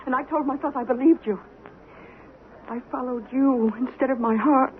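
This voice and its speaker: An actress playing a bitter, long-suffering middle-aged wife, reproachful and haunted, in old-time radio drama style. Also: female voice, high-pitched, steady tone, normal speed, close to an everyday voice. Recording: muffled, hissy vintage radio recording.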